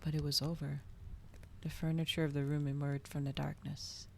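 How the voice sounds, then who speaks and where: Hushed low-voiced woman reading ASMR. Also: flat delivery, normal speed, gravelly, a little hiss or hum. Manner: hushed, often slipping into whispers. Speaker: ASMR streamer reading a novel aloud.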